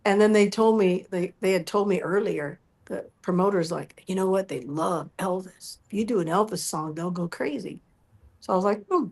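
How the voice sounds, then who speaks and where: Middle-aged female musician guest, mid-pitched, recounting memories in an animated, conversational way with quoted dialogue. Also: moderate pace. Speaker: interview guest, musical performer. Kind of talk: animated personal storytelling.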